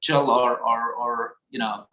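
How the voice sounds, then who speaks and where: A man, earnestly recounting his own life story in a measured way. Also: mid-range voice, level tone, sharp tone, highs cut off. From Iraq. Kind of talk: personal testimony. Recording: roomy echo.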